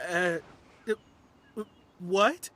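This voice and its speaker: A woman's voice: lowish voice, drawn-out words, very noisy. A woman voicing a nervous, superstitious client who stammers and hesitates, in a game cutscene.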